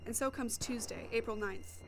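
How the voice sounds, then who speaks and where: A woman's voice: fairly high pitch, fairly even intonation, moderate pace, background noise. A young woman reporting and narrating a scripted documentary podcast.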